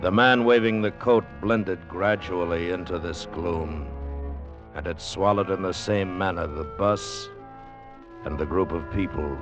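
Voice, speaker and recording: A deep-voiced, grave narrator tells a sea story for old-time radio. His delivery is solemn and deliberate. Music plays behind him on a dull, dated recording. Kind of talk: scripted literary narration, 1940s-50s radio.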